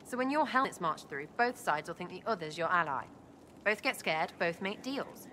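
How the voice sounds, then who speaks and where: A cheeky young female elf from a video game with a bright, forceful voice. Her British accent is slangy and irreverent. There is faint ambience behind her. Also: fairly low pitch, expressive, normal speed, a little hiss or hum. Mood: earnest, scheming. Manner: breezy, slangy, impish. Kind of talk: video game dialogue acting.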